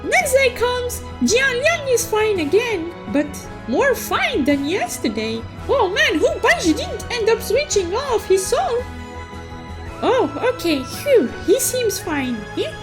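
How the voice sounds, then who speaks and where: A woman telling a game story recap as a childlike, excitable cartoon unicorn, playful and full of exclamations, with music behind her. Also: very high-pitched. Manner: playful, exclamatory, cutesy third-person persona. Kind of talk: animated storytelling recap with sound-effect exclamations. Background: background music.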